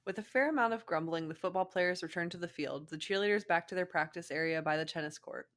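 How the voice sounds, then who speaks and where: A woman reading fan fiction aloud in expressive narration, breaking off for casual comments. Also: fairly low pitch, quick pace.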